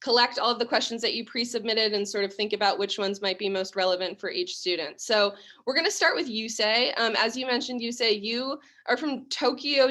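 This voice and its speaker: Higher-voiced woman hosting a video panel. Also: a bit bright. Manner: organized, explains each step of the event plainly. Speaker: school admissions leader hosting an open house. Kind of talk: moderating a virtual panel. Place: home or office on a video call. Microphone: video call.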